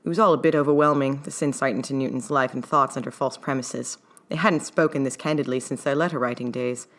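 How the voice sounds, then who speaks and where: A woman's voice: very deep voice, fairly even intonation, fast. A woman reading a scripted story aloud as its narrator and author. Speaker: author reading own fan fiction.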